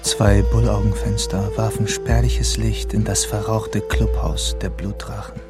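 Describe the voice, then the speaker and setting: A male narrator of a crime radio play. His voice is on the low side, measured and atmospheric, set over a clearly audible music bed. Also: level tone. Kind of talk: scripted crime story narration.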